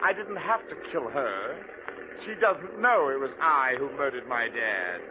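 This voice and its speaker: An actor playing a young murderer pilot in a theatrical 1940s radio crime drama style, on a tinny, noisy old recording. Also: male voice, mid-range voice, lively intonation, unhurried, close to an everyday voice. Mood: gloating. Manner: taunting, theatrical. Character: gloating young male murderer pilot, turns panicky.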